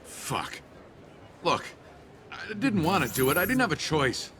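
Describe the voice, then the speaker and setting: A man's voice: fairly low pitch, expressive, moderate pace, fairly bright tone, slight background noise. A man playing a guilt-ridden, evasive traitor in a video game, with an edgy, haunted manner. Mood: panicked, pleading. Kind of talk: video game dialogue acting.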